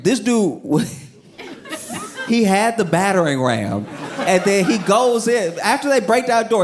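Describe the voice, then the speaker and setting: A man doing a stand-up routine, telling a story with animated bursts and acting out scenes. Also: on the high side. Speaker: stand-up comedian. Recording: live stage recording with audience noise. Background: audience laughter.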